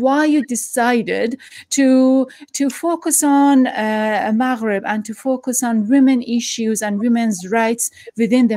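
Brief: female interview host, middle-aged, bright-pitched, dry studio-close mic, hesitant delivery. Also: no echo. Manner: halting, many fillers and restarts. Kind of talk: hosted video interview. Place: home study with bookshelves. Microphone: headphones and desk mic.

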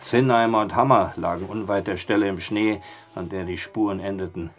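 A man's voice: deep voice, steady tone, brisk, close to an everyday voice. An actor playing a dry, skeptical male barkeeper in an audio drama. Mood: mysterious, matter-of-fact. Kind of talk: German audio drama acting.

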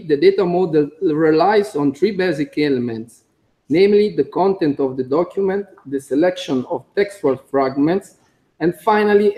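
Italian-accented man, engineer at a podium, slightly high and mellow, halting delivery read off notes. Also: poor audio. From Italy. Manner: careful, halting, with frequent "uh" fillers and small slips. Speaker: conference speaker, computer engineer. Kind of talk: conference talk read from notes. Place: conference room.

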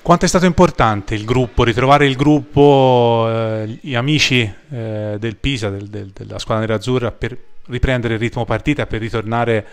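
A man's voice: mid-range voice, moderate pace, few pauses, smooth. A man interviewing a footballer after a match, asking long, winding questions.

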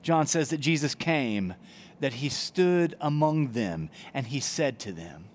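A man's voice: mid-range voice, animated, a little slow, a little hiss or hum. A man in his forties, a pastor, preaching an earnest sermon in a church chapel on a headset mic. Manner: earnest, gestures broadly while preaching. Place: church chapel, indoors, before brick wall.

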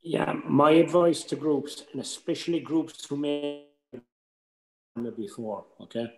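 A man's voice: a little high, slow, darkish tone, faint music behind. An older man with an Irish accent gives informal, advisory workshop tuition, often checking in with short tags, on a video call. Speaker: workshop tutor. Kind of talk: informal instructional talk. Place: indoors at home on a video call. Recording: roomy echoing video call.

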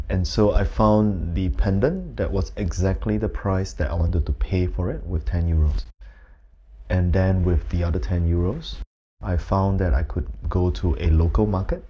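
Deep-voiced man answering interview questions. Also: dark tone, highs cut off. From Malaysia. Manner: measured, thoughtful, with frequent ums and uhs. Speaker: photographer. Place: indoors, plain-walled room.

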